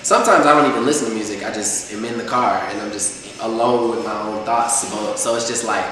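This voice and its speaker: Casting: young rapper guest, rambling and laid-back, far from the mic in a roomy, reverberant space with background noise. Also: male voice, lowish voice, fairly quick, crowd noise. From Atlanta, USA. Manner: loose, rambling, heavy use of filler phrases. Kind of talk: casual interview chat. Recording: echoey room, far mic, noisy.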